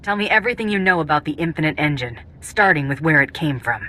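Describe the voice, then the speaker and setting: A woman's voice: low voice, lively intonation, quick pace, pushed and bright. An actress playing a tough, ruthless female bounty hunter in a video game, blunt and commanding. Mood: demanding, impatient. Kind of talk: video game cutscene acting.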